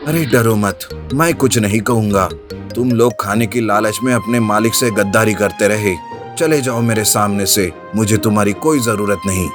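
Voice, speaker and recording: A man's voice: fairly low pitch, normal speed, music in the background, natural voice. A man voicing a naive, kind-hearted cart driver in a cartoon story, earnest and emotive. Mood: stern, hurt. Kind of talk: animated storybook character voice.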